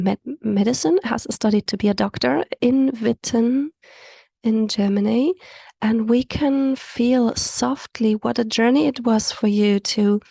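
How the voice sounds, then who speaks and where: A woman from Africa, an Afrikaans speaker, hosting an online community call and introducing guests in a halting way that often drops into a soft whisper. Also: a little high, vocal fry, no room sound. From South Africa. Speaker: host and facilitator.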